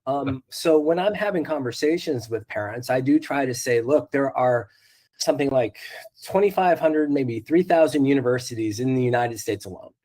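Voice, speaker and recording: A man speaking as a school counselor on a panel, a little hoarse from a cold, earnest, often restarting his sentences and laughing softly. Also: medium pitch, lively intonation, normal speed. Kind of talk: panel discussion.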